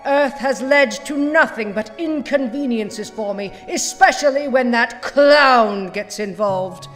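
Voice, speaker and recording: A woman playing a cold, condescending mad scientist villain in an audio drama, with a haughty, measured manner and false sweetness, in a reverberant space. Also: mid-range voice, close to an everyday voice. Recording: long reverberant hall echo.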